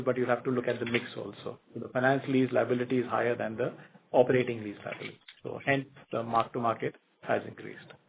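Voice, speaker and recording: A man with a creaky, gravelly voice explains figures as a finance executive on a conference call. The audio is phone-like, with a little noise on the line. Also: mid-range voice. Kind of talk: explanatory answer on an earnings call. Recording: noisy, narrow phone line with clicks.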